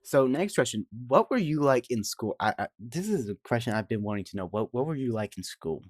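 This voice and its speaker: Guy from georgia doing a podcast chat, normal pitch, really dry sound. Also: animated, fairly quick, no echo. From Georgia, United States. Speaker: podcast co-host asking questions. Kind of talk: casual chat.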